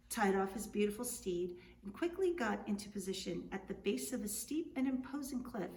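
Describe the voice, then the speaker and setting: A woman narrates a tale in an expressive storybook style, as an author reading aloud. The room echoes around the voice. It sits slightly away from the mic, with faint noise behind. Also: medium pitch, recorded from a distance. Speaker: author reading their book.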